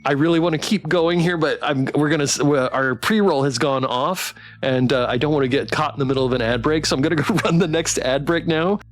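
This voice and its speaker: A man streaming a live game playthrough, reading the story aloud in an expressive, theatrical way and chatting with viewers. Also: a little high, fairly quick, hardly any pauses. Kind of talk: live playthrough narration with character read-alouds.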